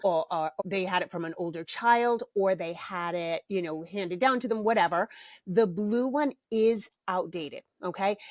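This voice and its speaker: Low-voiced, chatty woman podcast host. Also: dry recording, studio quality. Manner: candid, chatty, laughs easily. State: slight cough from bronchitis. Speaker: podcast host and parenting author. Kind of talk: casual solo podcast chat.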